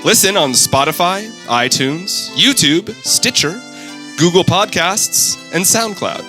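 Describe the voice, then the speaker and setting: A man's voice: high voice, animated, slow pace, bright, forceful tone. A man reading scripted show credits as an announcer. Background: plucked guitar music.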